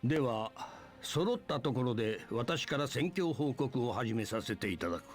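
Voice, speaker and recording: A man's voice: mid-range voice, expressive, quick pace, faint music behind, close to an everyday voice. A man playing an imperial army general in a Japanese video game, delivering formal military briefings. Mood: businesslike.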